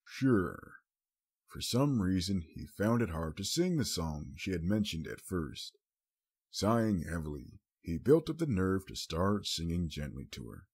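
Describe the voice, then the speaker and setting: A man's voice: low-pitched, big pitch swings, moderate pace, darkish tone, husky. A man reading a scripted story as an audiobook narrator.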